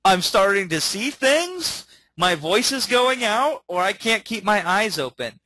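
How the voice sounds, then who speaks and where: A man teaching a webinar in an energetic way, often checking in with okay and all right. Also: high-pitched, unhurried, no echo, low-quality recording. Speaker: webinar trainer.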